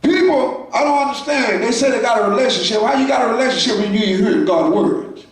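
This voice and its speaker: High-voiced shouting preacher in an echoey church. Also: male voice, expressive, quick pace, distant mic. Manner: preaching in shouted bursts with call-outs to the congregation. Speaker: pastor. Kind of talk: sermon. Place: church sanctuary.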